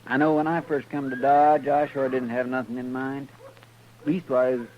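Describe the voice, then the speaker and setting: An actor playing an earnest, deferential Texas deputy with a homespun Southern drawl in a 1950s radio western. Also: male voice, medium pitch, little pitch movement, normal speed, natural voice. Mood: earnest, folksy. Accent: Southern American, Texas drawl. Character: folksy, simple, deferential male deputy from Texas. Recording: muffled, noisy old radio recording.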